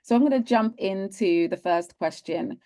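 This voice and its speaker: A woman's voice: medium pitch, normal speed, dark tone. A woman moderating a panel over a video call, encouraging the speakers and posing questions. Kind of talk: hosting a panel discussion.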